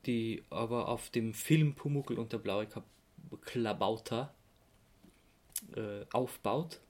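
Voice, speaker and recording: A man co-hosting a casual podcast, halting and correcting himself as he recounts things and gives firm opinions. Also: on the low side, darkish tone. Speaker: podcast co-host.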